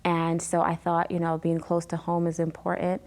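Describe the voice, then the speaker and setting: A woman in her thirties, originally from Jamaica, telling her life story as an interview guest with frequent pauses and fillers. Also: fairly low pitch, monotone, darkish tone. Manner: many ums and pauses, earnest retelling.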